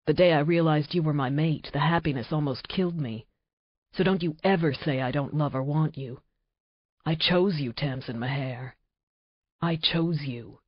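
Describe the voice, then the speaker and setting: A woman voicing an intense, possessive shapeshifter man, speaking in a low, hushed, passionate way. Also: moderate pace, no echo. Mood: tender. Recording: dry, slightly dull audiobook recording.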